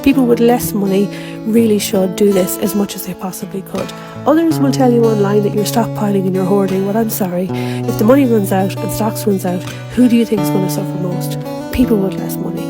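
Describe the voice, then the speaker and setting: Fast-talking Irish woman over guitar music. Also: mid-range voice. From Ireland. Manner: firm, persuasive. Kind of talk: opinion piece to camera.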